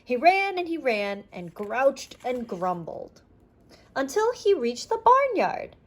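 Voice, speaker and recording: A woman reading a children's storybook aloud in an animated narrating style. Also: high voice, takes it slowly. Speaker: children's audiobook reader.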